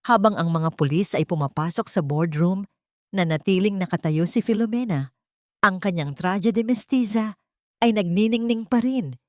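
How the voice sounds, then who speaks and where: A woman narrating a dramatic love story in a measured, theatrical reading style. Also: lowish voice, darkish tone, no room sound, studio quality.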